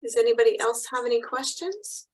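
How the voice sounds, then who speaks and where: A woman hosts a video webinar, her voice a bit higher than average. She speaks in a friendly, open way as she invites the audience to ask questions. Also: lively intonation, brisk, darkish tone. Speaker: webinar moderator. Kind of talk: webinar moderation. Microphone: computer mic on a video call.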